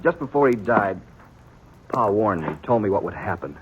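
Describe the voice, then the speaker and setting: An actor playing a stern, protective brother on the frontier, speaking in earnest, insistent rustic speech in a 1950s radio western. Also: male voice, on the low side, lively intonation, normal speed, muffled recording, slight background noise, natural voice. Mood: worried, grim.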